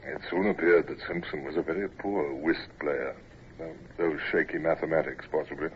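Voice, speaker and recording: An actor narrating as a grown naval officer looking back on his youth, in a reflective, measured way, in 1940s radio style on a dull old recording. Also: rumbling low, fairly even intonation, slight background noise.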